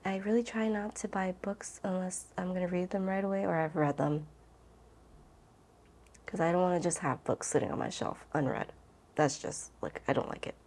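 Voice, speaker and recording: Lady doing a rambly bookish vlog, lowish voice, super casual and emphatic. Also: normal speed, darkish tone. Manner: gushing, informal, emphatic. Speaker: book vlogger. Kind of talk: casual rambling chat to camera.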